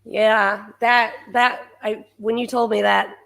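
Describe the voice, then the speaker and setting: A woman hosting a livestream, reading out viewer comments and chatting, hoarse from losing her voice and clearing her throat now and then. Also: fairly high pitch, flat delivery, takes it slowly, fairly bright tone. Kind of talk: casual chat.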